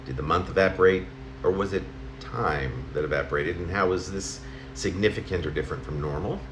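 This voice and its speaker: A middle-aged or older man reading his own letter-like poem aloud in a halting, conversational way, correcting himself as he goes. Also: low-pitched. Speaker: poet reading own work. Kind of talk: spoken poem reading in the form of a personal letter. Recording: roomy home recording, faint noise.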